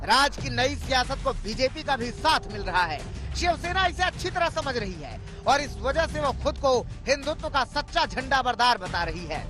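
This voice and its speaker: A man's voice: very high-pitched, very animated, normal speed, few pauses, music in the background. A man reading a scripted television news report as a reporter.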